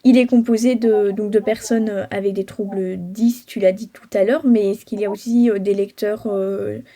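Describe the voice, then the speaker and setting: A woman's voice: mid-range voice, steady tone, normal speed, warm tone. A woman from Belgium hosting an interview, asking questions in a friendly way with fillers. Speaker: interviewer host. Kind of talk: casual interview.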